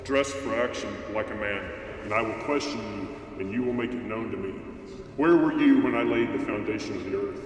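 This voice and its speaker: A man's voice: lowish voice, moderate pace, natural voice. A man playing a stern, majestic God who commands and challenges in a dramatized scripture reading. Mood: stern, challenging. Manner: commanding, rhetorical questioning. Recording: very echoey hall, distant mic, faint noise.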